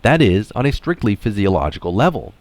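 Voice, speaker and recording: A man's voice: on the low side, very animated, a little fast, a little mellow, no echo. A man reading an article aloud in narration style, dropping in casual, opinionated asides of his own. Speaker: reader of an article adding his own comments.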